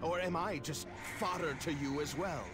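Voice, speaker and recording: A man's voice: on the high side, moderate pace, close to an everyday voice. A man voicing an earnest, defiant young dragon prince in human form for a video game cutscene. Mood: wounded, defiant. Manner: earnest, indignant, pleading. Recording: loud game music behind.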